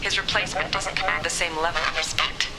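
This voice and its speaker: A woman playing a disgruntled stranded crew member in a video game, measured and sceptical, in a glitchy, stuttering log recording. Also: fairly low pitch, fairly even intonation, loud music behind the voice, rough recording. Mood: doubtful, weary.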